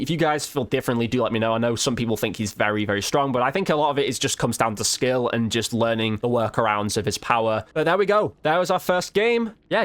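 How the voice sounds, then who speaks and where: A man's voice: medium pitch, fairly bright tone, no echo. A man giving casual, informal gameplay commentary as a video host, with slang and mild swearing.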